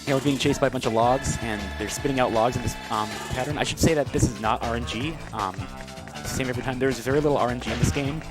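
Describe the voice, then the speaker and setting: A man's voice: mid-range voice, fast talker. A man giving casual live commentary as a speedrunner on a video game, with amused, wry asides. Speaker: speedrunner commentating on own play. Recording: loud game music behind the voice. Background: loud video game music.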